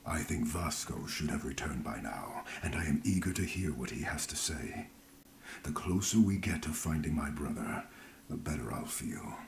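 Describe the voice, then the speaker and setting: A brooding, guarded man speaks in an extremely low, gravel-edged voice. His delivery is grave and formal, as in a dramatic fantasy game, with a roomy echo around it. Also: very animated, normal speed, noisy background, full character voice. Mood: guarded, resolute. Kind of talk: dramatic video game voice acting.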